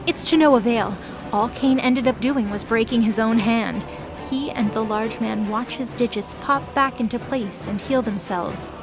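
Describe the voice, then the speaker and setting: A woman's voice: fairly high pitch, moderate pace, over music. A woman reading scripted narration for an audio drama, in the role of storyteller.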